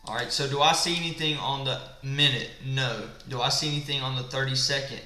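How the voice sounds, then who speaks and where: A man in an echoey room with a gravelly, smoker-like voice. He sounds tired late at night and walks through his trading setup, asking and answering his own questions. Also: medium pitch, steady tone, a little fast, pushed and bright. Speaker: trading instructor. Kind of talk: live screen-share trading walkthrough. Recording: echoey room with faint background music.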